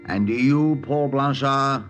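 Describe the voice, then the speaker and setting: A man's voice: mid-range voice, takes it slowly, lightly acted. Male actor as solemn minister, formal ceremonial recitation, vintage radio drama, dull recording, faint music. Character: solemn army colonel posing as wedding minister.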